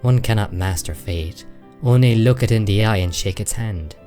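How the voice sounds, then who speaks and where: A man acting in a radio play as a dry, measured, contemplative imp who wryly watches humanity. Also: lowish voice, warm tone, faint music behind, natural voice. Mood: philosophical, measured. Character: wry, philosophical non-human imp, calm male observer of humanity. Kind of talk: radio drama dialogue.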